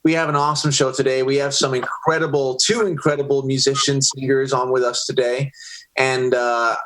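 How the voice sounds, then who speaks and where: A man's voice: mid-range voice, flat delivery, fairly quick, fairly bright tone, a little hiss or hum, low-quality recording. A man hosting a podcast over a video call, upbeat and enthusiastic. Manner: enthusiastic, hyped-up. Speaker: podcast host.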